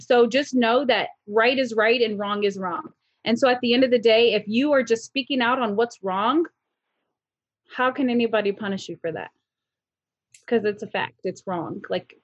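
A woman's voice: on the high side, no room sound, lo-fi sound. A woman speaking as a panelist on a video call, firm, direct and blunt.

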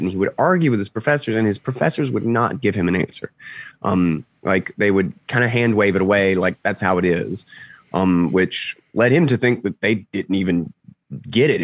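A man being interviewed, explaining casually and haltingly as he thinks aloud, his voice slightly congested after losing it. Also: lowish voice, no echo. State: slightly congested, recovering from lost voice. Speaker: interview guest.

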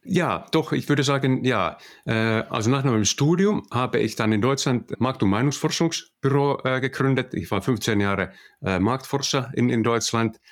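A man's voice: mid-range voice, moderate pace, few pauses. A middle-aged man from Finland with a Finnish accent, answering interview questions hesitantly with many fillers. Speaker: guest, managing director.

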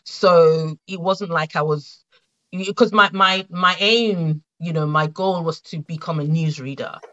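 Nigerian woman telling her story, halting. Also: fairly low pitch, fairly bright tone, highs cut off. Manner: reflective, halting, many fillers and restarts. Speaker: guest. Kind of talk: conversational interview.

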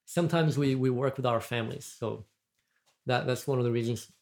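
Brazilian-accented man, podcast guest, chatty and rambling, mid-pitched everyday voice. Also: fairly quick, creaky, a little mellow. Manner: chatty, rambling, with frequent restarts and laughs. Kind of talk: casual chat.

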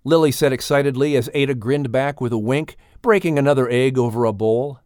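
A man's voice: medium pitch, a little fast, darkish tone, clean tone, no echo, clean studio sound. A man reading a children's story aloud as a scripted audiobook narrator.

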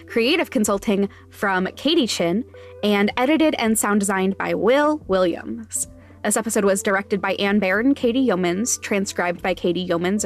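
Woman announcer reading over soft music. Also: mid-range voice. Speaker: podcast announcer reading credits and promo. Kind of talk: scripted narration.

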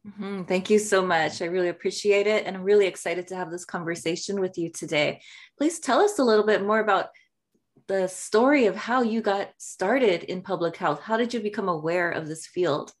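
A woman's voice: mid-range voice, normal speed. A woman hosting a podcast interview, friendly and a little stumbling over names. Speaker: podcast host.

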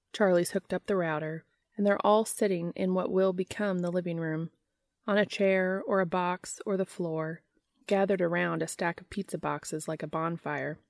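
A woman reads a story aloud as a narrator. Her voice sits somewhat low for a woman, and the recording is dry, with little room sound. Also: no room sound. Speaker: fan fiction audiobook narrator. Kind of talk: scripted narration, reading a story aloud with character dialogue.

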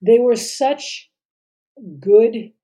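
A woman's voice: on the high side, extremely slow, warm tone, husky. A middle-aged woman co-hosting a podcast in casual chat, talking hesitantly with many fillers and trailing sentences. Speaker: podcast co-host.

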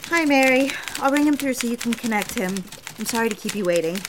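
An actress playing a sincere, quietly stubborn young secretary in an audio drama. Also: female voice, a little high, animated, a little fast, noisy background, close to an everyday voice. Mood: polite, nervous. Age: young adult. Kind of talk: audio drama acting and narration.

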